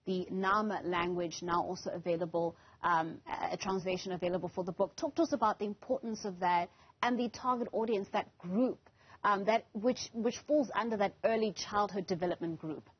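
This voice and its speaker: A woman with a South African accent asking wordy, measured questions as a news interviewer. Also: medium pitch, breathy edge, muffled recording.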